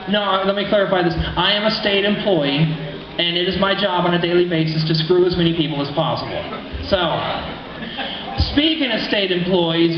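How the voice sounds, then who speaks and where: Guy doing deadpan standup in a bar, roomy echo, muffled noisy recording. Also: sits high. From Kansas. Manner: deadpan storytelling with acted quotes. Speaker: stand-up comedian. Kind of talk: stand-up comedy set. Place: small bar stage. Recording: echoey room, lo-fi, noisy.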